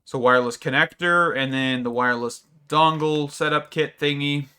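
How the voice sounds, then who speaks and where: A man's voice: on the high side, normal speed, sharp tone, smooth. A man giving casual let's-play commentary, rambling and thinking aloud with many fillers, his voice a little strained. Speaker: gaming YouTuber.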